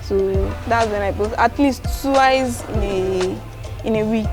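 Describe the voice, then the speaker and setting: A young woman from Nigeria with a Yoruba accent, answering interview questions softly and laughing often. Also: a little high, unhurried, over music. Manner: soft-spoken, laughs often, mixes in Yoruba. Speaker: guest vlogger.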